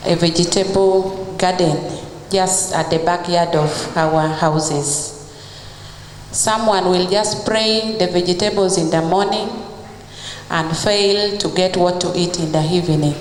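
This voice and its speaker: A woman with a Ugandan English accent delivers formal remarks at a very unhurried pace, her voice a little low. The sound carries the long echo of a large hall, with faint ambience behind her. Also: slight background noise. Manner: slow, deliberate with fillers. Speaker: official giving remarks. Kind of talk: formal speech at an event.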